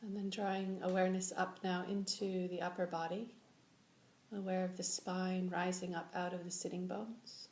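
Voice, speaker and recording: A woman leading a guided meditation as a teacher, giving slow, gentle instructions with long pauses. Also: on the low side, level tone. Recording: echoey room with faint background noise.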